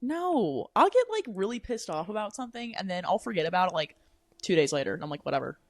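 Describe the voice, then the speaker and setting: A young woman with a deep voice for a woman, chatting casually and filling her talk with "like" and casual swearing. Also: big pitch swings, quick pace, no room sound. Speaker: podcast guest. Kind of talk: casual chat. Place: apartment room.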